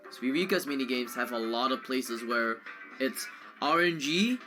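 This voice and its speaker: A man's voice: medium pitch, normal speed. A man doing casual live video game commentary in an informal, chatty way, as a speedrunner and co-commentator. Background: video game music.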